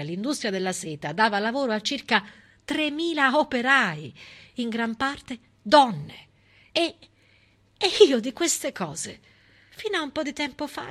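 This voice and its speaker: A woman delivering a theatrical monologue in an expressive, emphatic way, with conversational asides. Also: high voice. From southern Italy. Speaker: stage actress performing a monologue. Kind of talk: theatrical civic monologue, storytelling.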